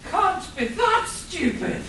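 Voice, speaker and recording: Echoey far recording, woman doing evil professor sidekick, bright voice swooping all over, hammy radio play. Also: very high voice, very animated, slow pace, full character voice. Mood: scheming. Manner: theatrical, exclamatory. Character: scientist professor villain, incredulous, scheming accomplice. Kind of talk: amateur live comedy radio play. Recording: roomy, distant live convention recording with noise.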